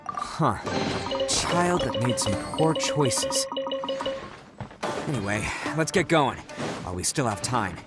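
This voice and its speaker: A woman's voice: extremely low pitch, big pitch swings, takes it slowly, pauses often, heavily acted. A woman playing a cocky, good-hearted young adventurer in a video game, with casual, slangy delivery. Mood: easygoing. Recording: loud music under the voice.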